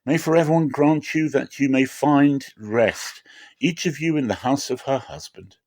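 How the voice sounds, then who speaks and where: A man's voice: medium pitch, normal speed, darkish tone, pitched above the performer's own voice, close to an everyday voice. A man voicing a grieving, bitter old widow who speaks in a plaintive, emphatic way. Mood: tender blessing. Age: older. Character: grieving, bitter old widow Naomi, pleading with her daughters-in-law.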